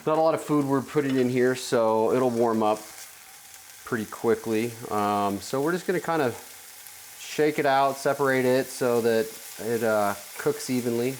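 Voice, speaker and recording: A man in his thirties, a chef, calmly explaining a salad recipe in a home kitchen with plenty of ums. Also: mid-range voice, normal speed, slight background noise, low-quality recording. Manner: relaxed, explanatory, many ums. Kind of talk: cooking demonstration. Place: large home kitchen, indoors.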